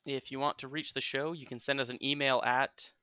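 A man's voice: medium pitch, steady tone, fairly quick, bright, forceful tone, dry recording, studio quality. A man co-hosting a podcast in a conversational style. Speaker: podcast co-host.

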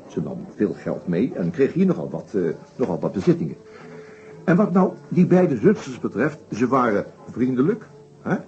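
An actor playing a shrewd, methodical detective inspector in a Dutch radio play, explaining his case deliberately with small hesitations. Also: medium pitch, darkish tone. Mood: measured, thoughtful. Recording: dull old radio play recording.

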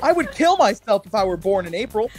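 A man with a high voice and big swings in pitch jokes around in a group let's play. His banter is animated and profane. Game music plays clearly behind him. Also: fast.

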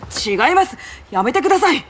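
A woman's voice: medium pitch, animated, moderate pace, a little hiss or hum, natural voice. A woman voicing a polite, resolute young samurai retainer in a video game, formal and earnest. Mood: flustered. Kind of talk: Japanese video game cutscene acting. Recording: music under the dialogue.

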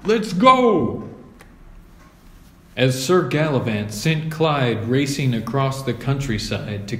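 Slow-paced man reading a storybook, roomy. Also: mid-range voice, a little mellow. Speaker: storybook reader. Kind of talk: scripted children's story narration, read aloud. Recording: roomy echo.

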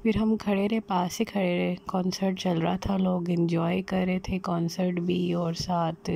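Low, gravelly woman narrating a vlog. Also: little pitch movement, a little slow, mellow, a little hiss or hum. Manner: chatty, rambling with fillers. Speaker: vlogger. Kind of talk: casual voice-over narration.

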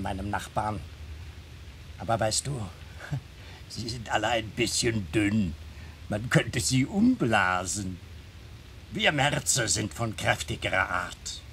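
A man's voice: on the low side, a little slow, gravelly, a bit bright, slight background noise. A man in a German radio play, playing a proud, cosy little king of a single day, genial, chatty and self-satisfied. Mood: boastful chatter. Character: cosy, proud little March day-king, eldest brother, pointed cap.

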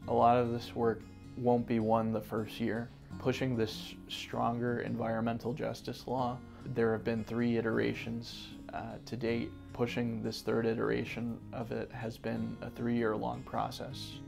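A man's voice: medium pitch, completely flat delivery, measured pace, creaky, over music. A young man from Connecticut, an environmental justice organizer, answering interview questions earnestly with frequent restarts and fillers. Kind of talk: sit-down interview. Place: office gallery room.

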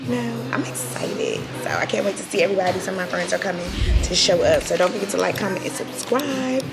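A woman's voice: mid-range voice, a little fast, vocal fry. Upbeat young woman vlogging over music. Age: young adult. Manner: excited, upbeat, slangy. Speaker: event hostess and vlogger. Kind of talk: casual vlog chat. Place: indoors in a large open salon. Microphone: camera mic at arm's length, no clip mic.

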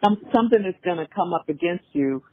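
A woman with a husky voice in a personal phone conversation, encouraging in a halting way. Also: on the low side, lively intonation, slight background noise. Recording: tinny phone line.

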